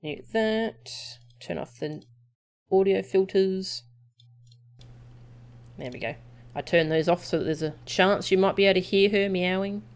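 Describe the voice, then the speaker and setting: A woman's voice: medium pitch, a little slow, pauses often, faint music behind. A woman streaming a video game, chatting casually and trailing off and restarting as she thinks aloud. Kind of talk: casual chat while playing and reading game text aloud.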